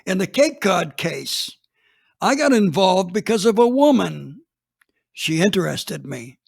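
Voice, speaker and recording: A man's voice: high voice, sing-song, a little slow, creaky, breathy edge. A man reading a dramatized first-person story as a police chief, plainspoken and matter-of-fact.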